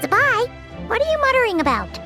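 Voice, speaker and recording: A woman voicing a tiny, curious, childlike fairy companion in a video game, squeaky and excitable. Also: brisk, heavily acted. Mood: surprised, puzzled. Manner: excitable, refers to herself in third person. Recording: music playing behind the voice.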